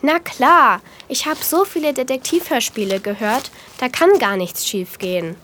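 A woman's voice: high voice, lively intonation, normal speed, a bit bright, a little hiss or hum. A woman playing a bold, bossy and eager young girl detective in a children's audio drama. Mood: eager, daring. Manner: brash, eager, conspiratorial.

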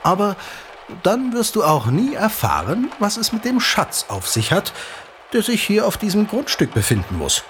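An actor playing an eager father who recounts an old legend in an audio drama, animated, teasing and dramatic, like a keen storyteller. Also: high voice, normal speed, noisy background. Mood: coaxing, teasing. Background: music.

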